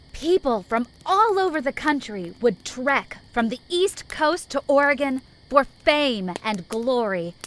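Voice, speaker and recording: A woman's voice: fairly high pitch, a bit bright, exaggerated voice. A woman playing a breezy, chatty staff sergeant in a post-apocalyptic audio drama, friendly and teasing. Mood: playful storytelling. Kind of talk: fan audio drama acting.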